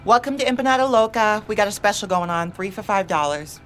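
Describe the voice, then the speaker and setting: A woman's voice: medium pitch, animated, fast, a little hiss or hum, close to an everyday voice. An actress playing a self-assured teenage transgender girl working a food counter, speaking firmly and matter-of-factly. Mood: businesslike. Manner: matter-of-fact, firm.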